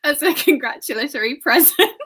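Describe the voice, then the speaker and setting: Bright, giggly woman on a live stream. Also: high-pitched, expressive, fairly quick. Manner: enthusiastic and giggly. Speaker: guest co-host. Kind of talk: casual live-stream chat.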